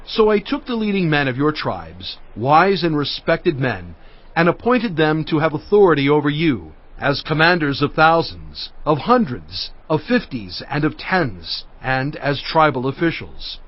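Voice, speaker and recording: A man's voice: a little high, sing-song, measured pace. An actor playing Moses as a fatherly, earnest leader addressing his people with oratorical weight in dramatized scripture narration. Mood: earnest, recounting. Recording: dull sound with music underneath. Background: music.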